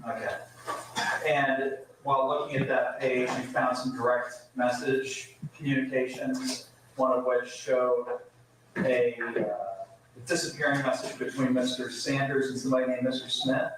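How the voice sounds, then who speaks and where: A man's voice: medium pitch, monotone, moderate pace. A man, a lawyer, formally questioning a witness in a courtroom. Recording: echoey, noisy courtroom, distant mic.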